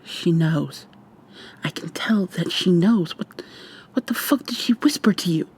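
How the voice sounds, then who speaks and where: A woman playing a defiant, frightened young human captive in an intimate, hushed, breathy ASMR roleplay, tense and sweary. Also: fairly low pitch, expressive, unhurried, full character voice. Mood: panicked, bitter. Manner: tense, profane, confrontational.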